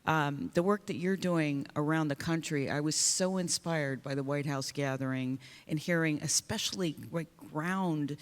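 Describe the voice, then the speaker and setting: A woman's voice: low-pitched, moderate pace, hardly any pauses. A woman singer speaking earnestly on a panel, often restarting her sentences. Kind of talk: panel discussion. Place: conference hall stage. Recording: long reverb of a large hall.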